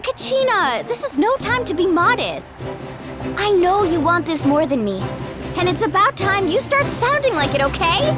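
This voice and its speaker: Spirited young female warrior, very high voice, lively intonation, encouraging, anime game dub, loud music behind. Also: moderate pace. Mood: firm encouragement. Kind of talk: dubbed anime game cutscene acting.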